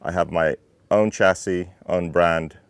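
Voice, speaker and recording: A man in his thirties from Finland, a racing team owner calmly explaining his car in technical detail. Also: low voice, slow pace, clear, resonant tone, no room sound. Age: 30s. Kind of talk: interview talk about his car.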